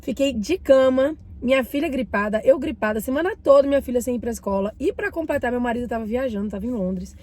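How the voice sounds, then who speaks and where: Bahian lady host just over a cold, dark husky voice, chatting to viewers. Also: on the high side, fast, hardly any pauses. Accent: Bahian Brazilian Portuguese. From Bahia, Brazil. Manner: warm, chatty, addresses viewers directly. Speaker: mindset and finance channel host. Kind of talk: casual talk to camera, reading and commenting a book.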